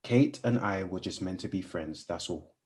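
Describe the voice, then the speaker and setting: A man's voice: deep voice, moderate pace, a little mellow, natural voice. A man playing an earnest, guarded best friend in a radio play, sometimes hesitant. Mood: evasive. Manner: earnest, hesitant, evasive when pressed.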